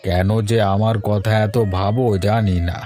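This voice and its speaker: A man's voice: fairly low pitch, steady tone, normal speed, dark tone, faint music behind, lightly acted. A man voicing a stubborn but kindly old farmer grandfather in a village cartoon. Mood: mildly puzzled. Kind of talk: cartoon voice acting.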